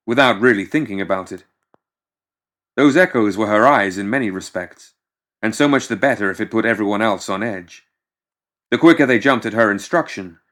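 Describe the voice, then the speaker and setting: A man with a fairly low voice narrates a story for an audiobook. His delivery is measured and dramatic, shaped as scripted fiction storytelling.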